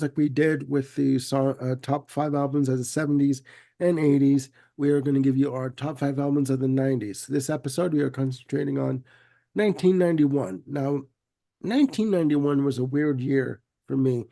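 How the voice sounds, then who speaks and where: A man's voice: mid-range voice, warm tone. A man with a head cold hosting a casual music chat, sounding congested, laid-back and given to repeating himself. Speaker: podcast host.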